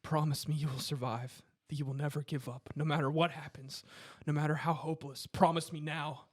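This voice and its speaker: An urgently devoted young man facing death as a man acts him out at a table read. His voice is husky and a little high. He pleads solemnly, in the melodramatic manner of a romantic film. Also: flat delivery, brisk, close to an everyday voice. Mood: solemn devotion. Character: earnest, dying young male lover, urgently devoted.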